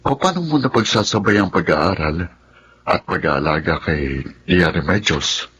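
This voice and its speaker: An actor playing a kindly, teasing older cousin in a Tagalog radio drama. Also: male voice, low-pitched, animated, a little slow, a bit bright, slight background noise. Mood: warm, easygoing.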